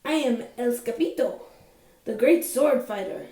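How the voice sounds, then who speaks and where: Storybook kitten, small boy, boastful and bouncy, acted by a woman; high voice, roomy distant recording. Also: a little slow, dark tone, close to an everyday voice. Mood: bold. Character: excitable little boy Siamese kitten playing Chihuahua hero.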